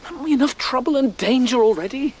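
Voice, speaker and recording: A man's voice: very high voice, animated, fast, slight background noise. An actor playing an earnest, caring young male rabbit who leads his group in a radio drama. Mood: weary, firm.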